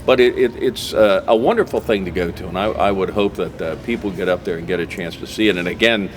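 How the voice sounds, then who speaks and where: A man's voice: low voice, fast. A man hosting a conversational local interview show, talking in a folksy way and recapping details as he goes. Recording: clearly noisy background ambience.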